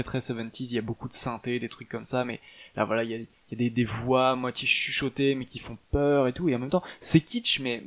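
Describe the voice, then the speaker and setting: A man's voice: medium pitch, creaky. A man giving a casual, hesitant film review, full of fillers and restarts.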